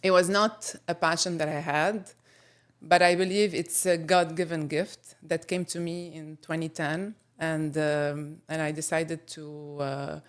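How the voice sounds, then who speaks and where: A woman from Lebanon with a notably low voice speaks as a guest on an interview podcast. She talks in a measured, reflective way, pausing often and filling gaps with "um" and "uh.". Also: level tone, smooth. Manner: thoughtful, with frequent pauses and fillers. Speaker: guest photographer. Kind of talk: casual interview conversation.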